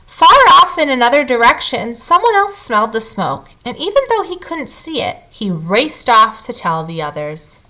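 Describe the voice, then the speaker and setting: A woman storyteller with a fairly high, bright voice reads a children's picture book. Her pitch moves in lively swoops, and she leans into the drama for young listeners. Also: normal speed, few pauses, rough recording. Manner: animated, dramatic emphasis, addressing young listeners. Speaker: read-aloud storyteller. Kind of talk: expressive children's picture-book read-aloud.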